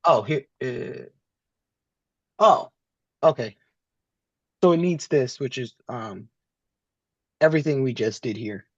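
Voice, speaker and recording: A male student with a mid-pitched voice talks himself through technical material. His delivery is halting and tentative as he confirms what he understands. The recording is somewhat dull and very dry. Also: expressive, dry recording. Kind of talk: thinking aloud while reading through code.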